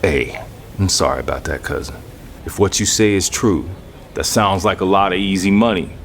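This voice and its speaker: Shrewd gang boss, low pitch, very lively intonation, slangy, commanding, audio drama with background music. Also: male voice, moderate pace, slight background noise. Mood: calculating. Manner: slangy street talk, commanding, lecturing. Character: shrewd, domineering street gang boss with corporate airs.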